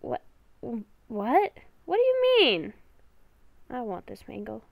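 A woman improvising in character in a game roleplay video, with a halting, exasperated way of talking. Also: fairly high pitch, wildly swooping pitch, vocal fry, dry recording, narrow, tinny audio. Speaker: gaming video creator playing the lead character.

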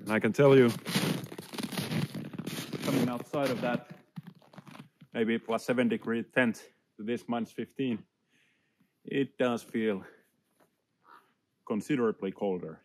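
A man in his thirties chatting casually and reflectively to camera, unhurried, speaking in short phrases with pauses. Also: medium pitch, darkish tone. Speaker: outdoor vlogger. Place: inside a small tent and outdoors in snowy woods.

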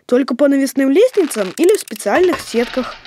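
A little know-it-all cartoon creature, childlike and high-pitched. It explains things earnestly with a lively, bouncing melody, over noisy background ambience. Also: female voice, brisk. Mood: earnest, informative. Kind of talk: children's educational cartoon acting.

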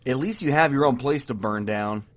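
A man's voice: medium pitch, moderate pace, slight background noise, close to an everyday voice. A young man acting an easygoing, joking college student in an audio drama, casual and teasing. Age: young adult.

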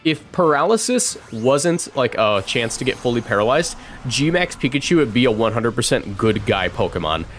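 A man in his twenties giving casual live game commentary, chatting and thinking out loud as he plays. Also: medium pitch, sing-song, normal speed, soft background music. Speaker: streamer.

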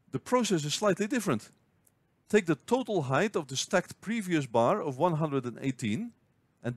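A man narrating a scripted spreadsheet tutorial, explaining step by step in a clear, measured way. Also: on the high side, no echo. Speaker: finance tutorial host. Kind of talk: scripted screen-recording tutorial.

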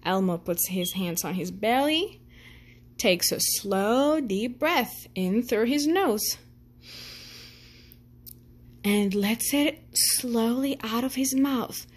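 A woman reading a children's picture book aloud in a gentle storytelling manner. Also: fairly high pitch, lively intonation, slow, pauses often, husky. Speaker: storytime reader.

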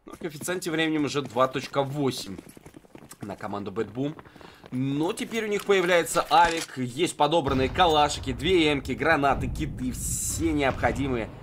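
A man's voice: mid-range voice, normal speed, fairly bright tone, a little hiss or hum. A man giving live esports commentary in a conversational way, reasoning aloud as he analyses play. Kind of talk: live Counter-Strike commentary with casual analysis.

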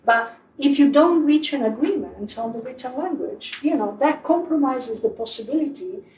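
A woman's voice: high-pitched, animated, normal speed, darkish tone. A woman from Sardinia, a professor answering audience questions in an explanatory way, full of "you know" fillers. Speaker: linguistics professor giving a public talk. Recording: echoey room, voice from a distance.

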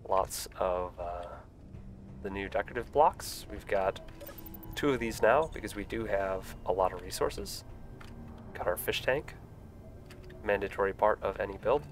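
A man's voice: lowish voice, measured pace, long pauses. A young man with a stuffy voice from a cold, chatting casually with lots of fillers while live-streaming a building game. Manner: relaxed, rambling, frequent fillers.

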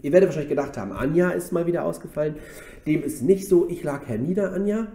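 A man co-hosting a casual podcast, slightly hoarse from a cold, joking his way through quick banter. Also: fairly high pitch, lively intonation, dark tone, echoey room. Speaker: podcast co-host. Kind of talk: casual chat. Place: office room.

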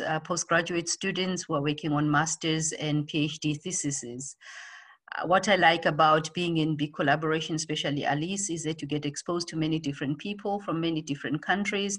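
A woman's voice: low-pitched, fairly bright tone. A woman researcher based in South Africa introducing herself to a webcam and reflecting on collaboration. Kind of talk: self-introduction to camera.

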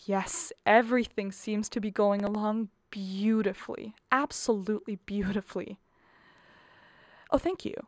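A woman's voice: mid-range voice, fairly even intonation, unhurried, darkish tone, no room sound, close to an everyday voice. A woman playing a nervous, harassed middle-aged mother of the bride in a radio play, pleading and exasperated. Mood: forced cheer.

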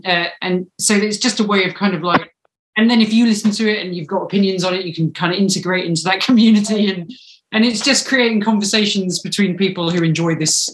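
A woman's voice: fairly low pitch, a little hiss or hum, low-quality recording. A woman in her thirties talking informally and emphatically in a casual interview over a video call from home. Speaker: podcast creator, interview guest. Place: small indoor room at home. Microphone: video call, computer at arm's length.

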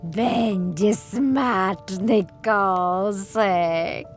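A woman voicing a cruel, bossy old witch who gloats over her prey in a slow, drawn-out, menacing way for a children's fairy tale. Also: medium pitch, faint music behind. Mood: gloating, menacing.

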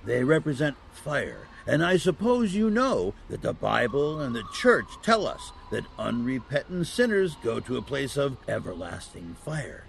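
A man's voice: mid-range voice, sing-song, unhurried, vocal fry, hardly any pauses, a little hiss or hum. A man playing a kindly old Saint Nicholas in a family audio drama, explaining patiently with chuckles and hesitations.

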